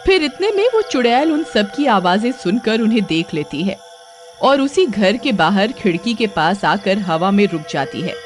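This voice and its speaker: A woman's voice: medium pitch, very animated, normal speed, over loud music. A woman giving a scripted, dramatic narration of a story.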